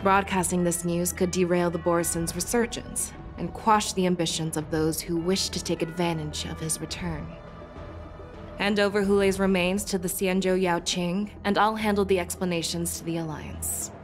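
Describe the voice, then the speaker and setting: A woman voicing a resolute young warrior general with fox ears in game dialogue, speaking in a firm, measured way. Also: lowish voice, fairly even intonation, soft background music, close to an everyday voice.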